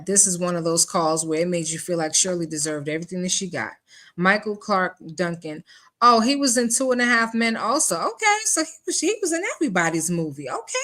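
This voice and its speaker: A woman's voice: on the low side, bright, forceful tone. A woman hosting a live stream, commenting casually and conversationally, repeating phrases for emphasis. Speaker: livestream host.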